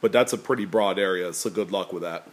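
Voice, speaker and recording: A man with a deep, slightly nasal voice chats easily to his viewers. He rambles along in an unhurried way, filling the pauses with ums and uhs. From California. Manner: relaxed, rambling, many fillers. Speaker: coin collecting YouTuber. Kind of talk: casual talk to camera. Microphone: camera pointed at table, speaker off screen.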